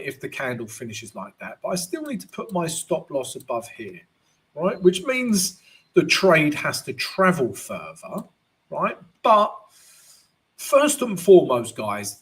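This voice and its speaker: A man with a croaky voice, hosting a live trading analysis stream in a chatty way, often calling viewers guys and tagging lines with "right?". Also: fairly high pitch, fairly bright tone.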